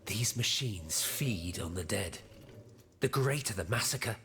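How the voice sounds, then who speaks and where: A man voicing a grave, learned and devout inventor in a video game, solemn and deliberate. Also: on the low side, animated, a bit bright. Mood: grave, troubled. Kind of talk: video game cutscene acting.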